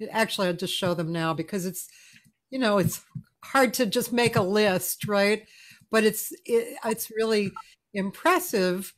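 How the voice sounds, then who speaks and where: A woman in her sixties hosting a casual video-call interview from her home music room, effusive and often searching for words. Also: medium pitch. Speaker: host. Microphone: studio mic on a video call.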